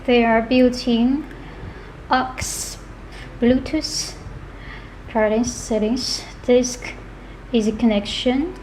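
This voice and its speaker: A woman giving an unscripted product demonstration, talking in a halting way and going through items one by one. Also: fairly high pitch, mellow, a little hiss or hum, low-quality recording. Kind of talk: unscripted product demo walkthrough.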